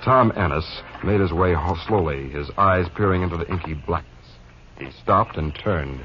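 A man's voice: low voice, normal speed. An American man narrating a 1950s radio drama as its announcer. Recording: muffled 1950s radio recording.

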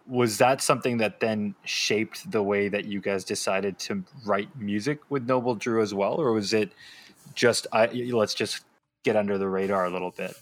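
Podcast host, low voice, winding questions. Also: male voice. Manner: long, winding questions with restarts. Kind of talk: interview questions.

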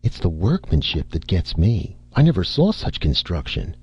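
A man reading an audiobook, voicing a calm, amused middle-aged industrialist. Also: sits low, normal speed, slightly rough, dry recording, dull audio, full character voice. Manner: calm, easygoing.

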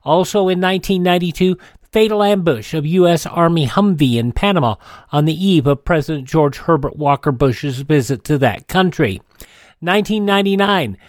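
A man's voice: high voice, no echo. Man hosting radio, very dry sound. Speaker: radio show host. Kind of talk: scripted radio almanac narration.

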